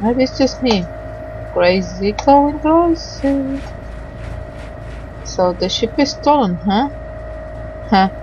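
A woman doing let's play commentary, reading game dialogue aloud haltingly and adding muttered asides. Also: a little high, expressive, lo-fi sound, background noise.

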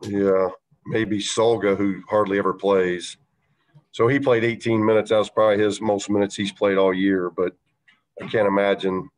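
A man coaching a basketball team, answering reporters' questions after a game, measured and halting with many fillers. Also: on the low side, flat delivery. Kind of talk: post-game press conference answers.

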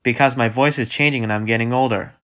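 A man's voice: medium pitch, fairly even intonation, fast, a bit bright, a little hiss or hum. A man speaking casual cartoon dialogue in an everyday voice.

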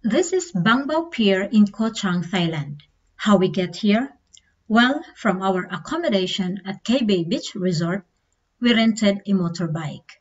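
A woman's voice: mid-range voice, lively intonation, unhurried, slightly rough. A woman reading a scripted travel voice-over as a vlogger. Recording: slightly dull lo-fi voice-over.

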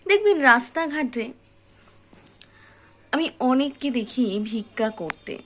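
A woman with a fairly high voice speaks directly to the listener in a motivational style. She takes her time, very slowly setting out short, deliberate phrases. Her delivery is earnest, with firm emphasis on key words. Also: lively intonation. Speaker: host of a motivational reflection channel.

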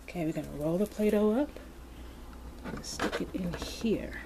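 A woman hosting a kids' toy video, narrating as she plays with modeling clay in a slow, gentle, playful way with soft asides. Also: fairly low pitch. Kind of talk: hands-on toy play narration for kids. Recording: background noise.

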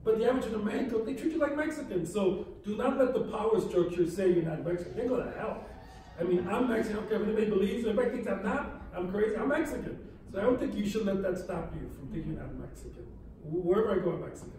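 Fast, blunt older man in echoey hall. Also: sits high, lively intonation, mellow, distant mic. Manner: blunt, outspoken, fast-talking. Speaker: panelist, museum founder. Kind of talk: panel discussion. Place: large hall with brick walls. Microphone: far room mic.

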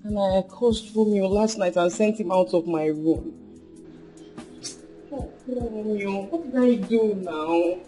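A woman's voice: mid-range voice, slow pace, music in the background, natural voice. A woman playing a suspicious, easily dismayed young woman in a film drama.

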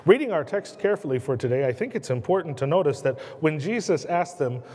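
American pastor preaching in a reverberant church. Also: male voice, animated, a little mellow, slightly rough. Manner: earnest preaching, slows and drops low on key words, gestures a lot. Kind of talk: sermon. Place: church sanctuary at a pulpit.

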